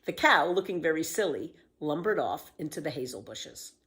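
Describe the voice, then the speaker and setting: Animated woman storyteller, lowish voice. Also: moderate pace. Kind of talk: expressive children's story reading.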